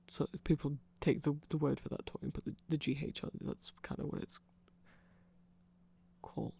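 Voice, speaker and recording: A performer voicing a nerdy, awkward, eager vampire friend in a rambling, hesitant ASMR roleplay, halting and self-conscious. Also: mid-range voice, no echo, close to an everyday voice. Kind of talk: rambling ASMR roleplay, explaining fan lore to a listener.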